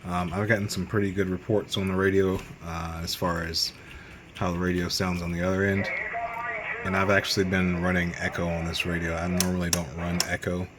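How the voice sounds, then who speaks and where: Man, very deep voice, matter-of-fact, unscripted hobbyist review, clearly noisy indoor recording. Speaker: amateur radio hobbyist reviewing gear. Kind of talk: casual hands-on product review. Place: radio bench, indoors.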